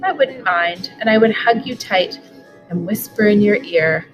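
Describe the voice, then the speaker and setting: A woman's voice: medium pitch, unhurried. Woman reading aloud over music. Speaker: school superintendent reading aloud. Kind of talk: picture-book read-aloud. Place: living room sofa, indoors.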